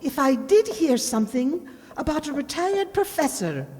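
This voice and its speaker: A woman's voice: high voice, big pitch swings, normal speed, a little mellow, big-room sound. Woman playing a folksy Scottish village cart driver. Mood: folksy, musing. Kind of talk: 1940s radio acting.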